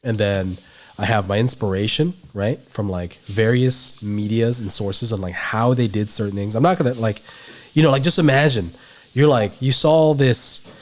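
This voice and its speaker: A man's voice: lowish voice, moderate pace. A man with a stuffy, nasal voice, teaching an art lesson on a livestream in a rambling way full of fillers. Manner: rambling, filler-heavy explaining. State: stuffy nose. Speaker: art instructor.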